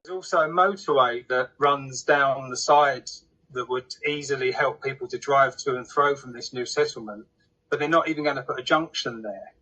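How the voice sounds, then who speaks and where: A man's voice: medium pitch, moderate pace, pushed and bright. A man explaining things casually, in a plain, hesitant, conversational way. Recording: slightly dull lo-fi sound.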